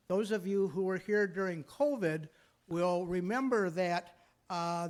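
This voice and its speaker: A man giving an informal, hesitant, lightly humorous welcome as host of a live radio play. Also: sits high. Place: live before an audience.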